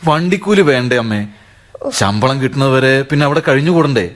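A man's voice: medium pitch, animated, a little fast, lightly acted. A man with a Kerala accent playing an earnest, respectful but determined nephew in a radio drama. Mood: pleading, determined. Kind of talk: Malayalam radio drama acting.

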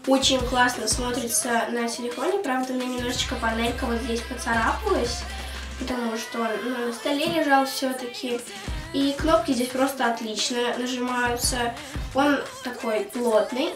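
A thirteen-year-old girl from Russia chatting casually to camera as a video blogger, informal and rambling in a girlish way. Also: a little high. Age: 13 years old. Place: small attic room at home. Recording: roomy echo, distant mic, music behind. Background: background music.

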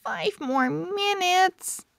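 A woman's voice: very high voice, lively intonation, very slow, vocal fry, a bit bright, no room sound, clean studio sound, voice pushed up, heavily acted. A woman voicing a good-natured, playful young girl who indulges her pet in a children's storybook. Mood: playful, indulgent.

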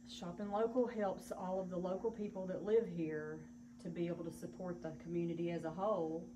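A woman's voice: fairly low pitch, fairly even intonation, fairly quick. A woman in her thirties with a Southern American accent, a boutique owner answering questions plainly in her large store, filmed on a phone a few steps away. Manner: plain, matter-of-fact answers. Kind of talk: casual live interview. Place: large indoor clothing boutique. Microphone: phone camera a few steps away. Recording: store hum, audible background noise.

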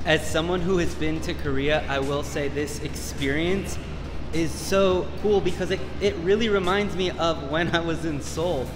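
A man's voice: fairly high pitch, moderate pace, music in the background. A man in his thirties from the United States, talking as a travel vlogger in a chatty, enthusiastic way while touring an expo site at night. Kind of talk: travel vlog narration and on-camera talk. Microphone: phone or camera held at arm's length.

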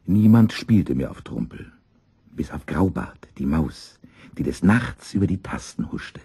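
A man narrating a scripted children's story as an expressive storyteller. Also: deep voice, a little slow, breathy edge.

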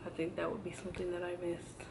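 A woman in her early twenties chatting casually to camera, answering a list of questions in a relaxed, hesitant way with many pauses and restarts. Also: on the low side, gravelly, background noise. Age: 20s. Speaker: vlogger. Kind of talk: casual tag video chat. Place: indoors at home, in front of a backdrop. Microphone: camera framed close, seated.

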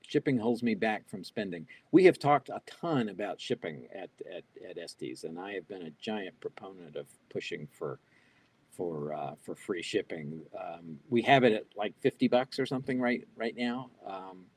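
Husky low-voiced older man on a video call. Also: animated, moderate pace, darkish tone. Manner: reads questions aloud and answers off the cuff, with fillers and restarts. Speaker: keynote speaker answering audience questions. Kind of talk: live online Q&A. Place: small home office, indoors. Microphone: wired earbud headset on a video call.

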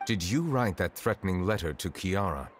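A man playing a reserved, dignified chief judge in a video game, speaking formally and with measured precision. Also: low voice, expressive, in a large hall, slight background noise. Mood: grave, inquiring. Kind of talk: video game character acting.

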